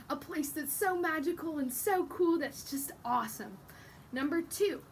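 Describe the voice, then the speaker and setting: A woman with a high voice teaches young children through a storybook read-aloud. She explains things in an encouraging way and addresses the listeners directly, over faint background noise.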